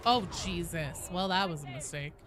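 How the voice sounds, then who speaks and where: A woman's voice: on the low side, moderate pace, a crowd in the background. A woman doing casual live gaming commentary, informal and sweary, chatting with her viewers. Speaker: game streamer, host.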